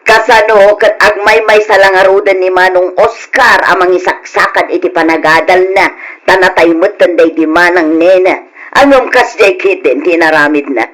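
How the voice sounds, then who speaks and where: A woman's voice: on the low side, moderate pace, fairly bright tone, close to an everyday voice, low-quality recording. An actress playing a boastful, mocking middle-aged village woman in an old Ilocano radio drama, with an Ilocano accent and a gossipy, taunting delivery. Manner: taunting, gossipy, exaggerated sing-song swings.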